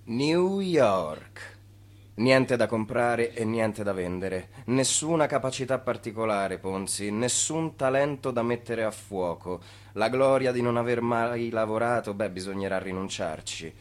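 A man playing a dry, ironic narrator in a radio play, speaking in clipped, deadpan phrases. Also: mid-range voice, a little slow, close to an everyday voice.

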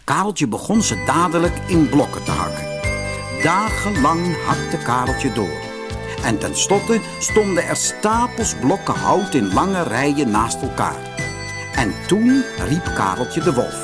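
A man's voice: fairly high pitch, sing-song, unhurried, loud music behind the voice. A man reading a fairy tale aloud as a scripted audiobook narrator.

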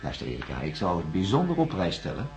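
An actor playing a calm, patient male psychiatrist in a science fiction radio drama, speaking formally and deliberately. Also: low-pitched, slight background noise. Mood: patient, reassuring. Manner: measured, formal, deliberate phrasing with pauses. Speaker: doctor. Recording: dull lo-fi radio recording, music.

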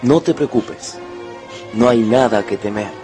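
A man's voice: medium pitch, unhurried, mellow, faint music behind, lightly acted. An actor playing a devoted young man who loves his animal, in a radio drama. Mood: proud, affectionate.

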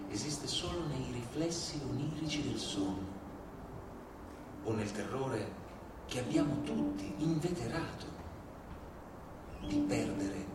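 A man giving a hushed, grave and measured dramatic reading as a stage narrator. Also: medium pitch. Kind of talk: slow theatrical dramatic reading. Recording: echoey hall, distant, music behind.

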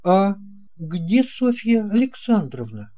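A woman's voice: mid-range voice, big pitch swings, slow, warm tone, voice pushed up. A woman voicing a curious, puzzled young boy who questions and wonders in a children's audio storybook.

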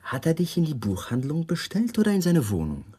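An actor playing a wily, patronising police lieutenant in a radio crime drama, probing with false friendliness. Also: male voice, fairly high pitch, huge pitch swings, a little fast, dark tone, husky, heavily acted.

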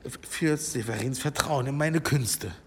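An actor playing a learned, evasive monastery healer in a German audio drama, speaking in a guarded, pedantic way. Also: male voice, mid-range voice, unhurried, husky. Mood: awkward. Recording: long stone-hall reverb.

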